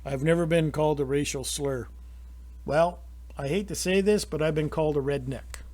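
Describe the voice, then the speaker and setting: A man's voice: fairly high pitch, normal speed, darkish tone. Indignant rambling Canadian man. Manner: rambling with frequent restarts, indignant. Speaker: channel host. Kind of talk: personal opinion monologue.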